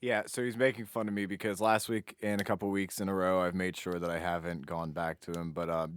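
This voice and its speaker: A man's voice: low voice, little pitch movement, a little fast, clean tone, dry recording, clean studio sound. A young man co-hosting a podcast in casual banter, rambling and swearing freely. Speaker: podcast co-host.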